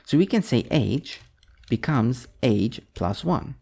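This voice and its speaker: A man's voice: medium pitch, big pitch swings, takes it slowly, creaky, darkish tone, slightly rough. A man teaching programming over a screen recording, reading code aloud as he types it. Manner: talks while typing code, reads out strings. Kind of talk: screen-recorded coding tutorial.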